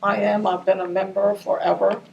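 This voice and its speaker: A woman's voice: medium pitch, little pitch movement, a little fast, creaky, a little mellow, dull audio. An older woman from Ramallah whose first language is Arabic, recalling her childhood in an oral history interview. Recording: distant mic with faint noise.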